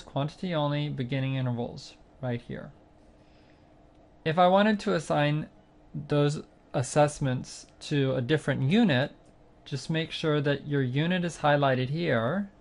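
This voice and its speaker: A man narrating a screencast tutorial, explaining a music training website step by step in a plain, unhurried way with occasional fillers. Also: on the high side, creaky, darkish tone. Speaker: site instructor demonstrating software.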